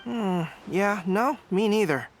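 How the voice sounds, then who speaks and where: A woman's voice: mid-range voice, slow, a little hiss or hum, close to an everyday voice, poor audio. A woman playing a courteous, thoughtful young woman in a game cutscene, speaking in a polite, hesitant way. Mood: evasive.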